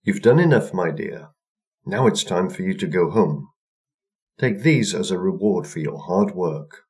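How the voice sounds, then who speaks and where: A man voicing a kindly, gently bossy old woman in a storybook tale. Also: sits low, animated, measured pace. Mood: satisfied, kindly. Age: older. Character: kindly old woman with a long pointy chin, mistress.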